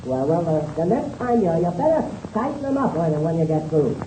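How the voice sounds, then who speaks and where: An actor playing a folksy, grumbling American airplane mechanic who stammers and repeats words in slangy 1930s radio-serial style. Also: male voice, a little high, sing-song, moderate pace, mellow, poor audio. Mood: exasperated. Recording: hissy old-time radio recording.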